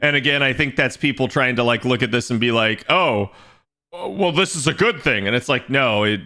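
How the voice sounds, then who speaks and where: A young man chatting casually on his game stream, rambling and restarting as he thinks aloud, with a slightly raspy voice today. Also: medium pitch, moderate pace, pushed and bright. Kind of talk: casual livestream chat.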